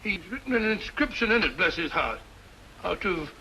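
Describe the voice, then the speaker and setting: An old, faintly noisy radio drama with a bumbling English gentleman. His voice is high for a man and bright, his manner genial and blustery. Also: sing-song, normal speed, exaggerated voice. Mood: chatty. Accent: British. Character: kindly, bumbling middle-aged English gentleman sidekick. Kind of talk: 1940s radio mystery acting.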